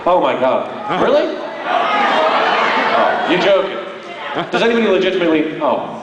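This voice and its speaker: A man's voice: on the high side, big pitch swings, moderate pace, muffled recording. A man talking casually and jokingly as a guest at a live convention panel, poking fun at himself. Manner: jokey, self-deprecating. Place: large indoor convention panel room. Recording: echoey hall from afar, loud crowd noise. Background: noisy audience.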